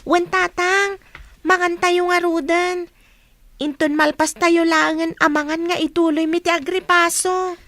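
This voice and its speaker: A woman's voice: very high-pitched, little pitch movement, unhurried, a bit bright, full character voice. A woman playing a dutiful, caring eldest daughter, a young girl, in a radio drama. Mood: caring, sisterly. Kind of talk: Ilocano radio drama acting.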